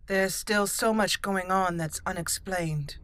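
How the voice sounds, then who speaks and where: Bright-voiced woman roleplaying through a game. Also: medium pitch, dry recording. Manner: halting, thoughtful, formal phrasing. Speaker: gaming channel host, roleplaying player. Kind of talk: roleplay game commentary, improvised in character. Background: game music.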